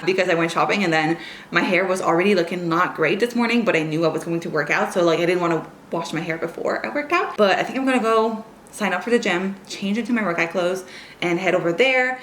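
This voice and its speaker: A woman's voice: lowish voice, a bit bright. Young woman, native French speaker, rambling vlog chat, slight background hiss. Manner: chatty, rambling, lots of "like". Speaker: vlogger. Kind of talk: casual reading vlog chat.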